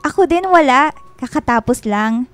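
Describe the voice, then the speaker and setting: A woman's voice: sits high, expressive, normal speed, faint music behind. A woman playing a sweet, friendly, bubbly college student in a radio drama, speaking in a cheerful, eager way. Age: young adult. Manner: cheerful, eager greeting style.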